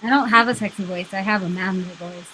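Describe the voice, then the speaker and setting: Faint noise sits behind a woman chatting on a live webcam stream. She speaks casually as a co-host, blunt and teasing, swearing freely, in a medium-pitched voice. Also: fast, bright, forceful tone, low-quality recording. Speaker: podcast co-host.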